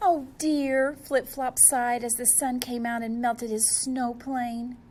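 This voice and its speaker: A woman's voice: a little high, little pitch movement, takes it slowly, darkish tone, a little hiss or hum. A woman reading a storybook aloud to young children, expressively and speaking to them directly.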